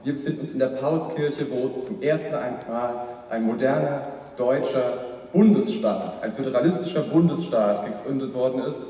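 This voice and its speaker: A young man from Rhineland-Palatinate giving a formal, measured debate speech at a lectern, repeating phrases as he builds his case. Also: mid-range voice, dark tone, a little hiss or hum. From Rhineland-Palatinate, Germany. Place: large hall, at a lectern. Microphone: far camera. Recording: echoey hall recorded from far off.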